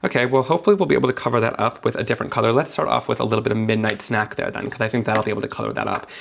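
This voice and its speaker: A man's voice: on the low side, steady tone, very fast, gravelly, fairly bright tone, slight background noise. A young man, sniffly after being sick, chatting enthusiastically as he narrates each step of a live card-making tutorial.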